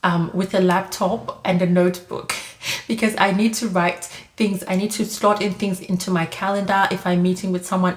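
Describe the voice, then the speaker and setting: A woman, giving chatty advice in a casual monologue, full of "like" and "you know". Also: on the low side. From Zimbabwe. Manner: chatty, frequent "like" and "you know", light laughs. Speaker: vlogger giving immigrant advice. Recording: echoey room.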